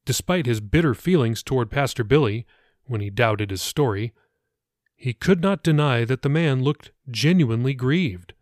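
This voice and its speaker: A man's voice: medium pitch, animated, normal speed, dry recording, studio quality. A man reading his own fiction audiobook as a scripted narration.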